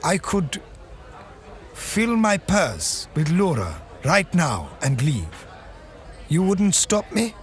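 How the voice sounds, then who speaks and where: An actor playing a proud, wary male ally in an audio drama, speaking in a measured, formal way. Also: fairly high pitch, wildly swooping pitch, faint music behind, full character voice. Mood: resolute.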